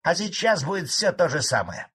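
A cranky old farmhand, as a male reader puts him on, ranting gruffly in a raised, husky voice. He grumbles defiantly and louder than the storyteller around him. A bitter, stubborn old man in an audiobook. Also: fairly high pitch, big pitch swings, moderate pace, voice pushed up, full character voice. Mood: angry. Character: grumpy old male collective farmer, spiteful.

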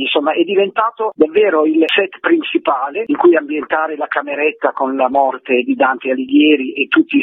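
A man's voice: high-pitched, creaky, breathy edge, no echo. A man, a film director, explaining his work passionately over a phone line on a radio interview. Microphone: telephone.

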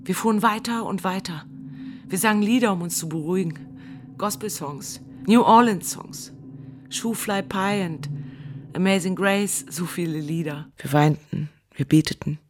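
A devout woman bus driver tells of her flight from disaster in a radio drama monologue, performed by an actress. Her voice sits fairly low, and she speaks earnestly, with emotional, halting repetitions. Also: close to an everyday voice. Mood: urgent, strained. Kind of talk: dramatic first-person monologue.

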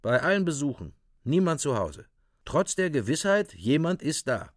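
A male narrator delivers scripted radio drama in a report-like style. His mid-pitched voice moves in a lively, animated way, recorded dry and close. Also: normal speed, dry recording.

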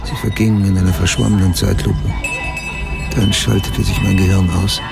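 An actor playing a haunted, hard-boiled writer, narrating his past in terse sentences in a radio drama with a gravelly voice. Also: on the low side, warm tone, dry recording, clean studio sound, heavily acted. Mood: haunted, bleak. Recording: music under the voice.

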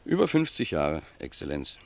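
A humble old gardener in a radio play. His voice is extremely low, with a gravelly creak. He talks in a pious, reflective way, choosing his words like a simple, deferential servant. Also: wildly swooping pitch, darkish tone, heavily acted. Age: older. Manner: reflective, deferential, unhurried phrasing. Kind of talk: German radio drama acting.

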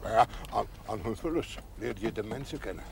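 Chuckling folksy village garbage collector, kindly and odd, noisy old TV sound. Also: male voice, lowish voice, a little fast, vocal fry. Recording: noisy 1970s TV audio.

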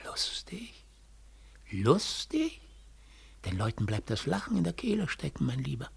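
A man's voice: mid-range voice, creaky, breathy edge, exaggerated voice. A man playing a self-important, patronizing cabaret director in a 1940s German radio drama, theatrical and condescending. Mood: mocking, grandiose.

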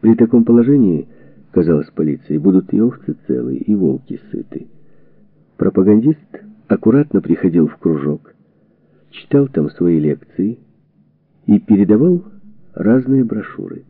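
Male audiobook narrator for history: gravelly, creaky edge, expressive measured phrasing, very dry narrow-band sound. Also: on the low side, no room sound. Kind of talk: scripted narration of a historical book.

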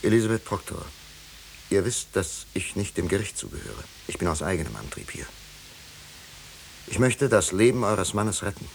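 An actor playing a tormented, guilt-ridden pastor who pleads fervently, in formal 1950s German radio-drama style. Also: male voice, low voice, big pitch swings, normal speed, creaky, pauses often, exaggerated voice, low-quality recording. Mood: grave, remorseful. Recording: hissy 1950s radio recording.

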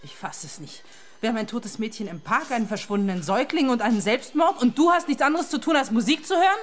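A brusque woman police detective played by an actress in a radio crime drama, commanding and easily exasperated. Her delivery swings up and down in animated fashion, with music running behind her. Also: on the high side, fast, natural voice. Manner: curt, bossy.

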